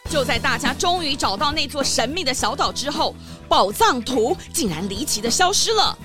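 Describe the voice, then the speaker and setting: A woman narrating a children's audio story, expressive, drawing out particles at phrase ends. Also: high-pitched, over music. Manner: expressive, with drawn-out sentence particles. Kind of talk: animated storytelling for young children.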